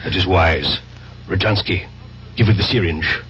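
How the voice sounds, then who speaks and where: A man's voice: sits low, moderate pace, a bit bright. An actor playing a cold, commanding male spymaster with veiled menace in a 1970s radio drama. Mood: cold, menacing. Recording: muffled, noisy old radio drama recording.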